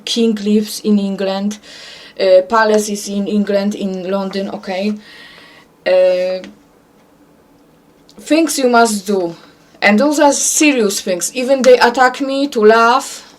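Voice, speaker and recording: An earnest woman with a Polish accent delivers a religious message straight to camera. Her English is halting and repetitive, and she keeps asking "okay?". Her voice sits at an ordinary middle pitch. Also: a little mellow. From Poland. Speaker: self-described prophet.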